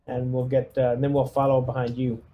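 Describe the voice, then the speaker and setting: A man's voice: mid-range voice, monotone, a little fast, lo-fi sound. A man playing a tabletop roleplaying game, chatting casually and giving orders in character in his everyday voice.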